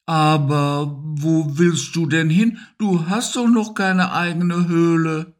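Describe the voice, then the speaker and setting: Male reader as a tiny dramatic hamster, high and squeaky, quick to wail. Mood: worried. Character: small, anxious, tearful male hamster. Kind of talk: storybook reading.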